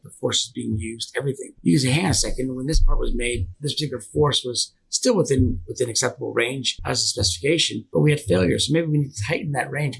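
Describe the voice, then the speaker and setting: A man being interviewed as a company director, explaining technical work conversationally, often halting and repeating words. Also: mid-range voice, vocal fry, slightly rough, low-quality recording.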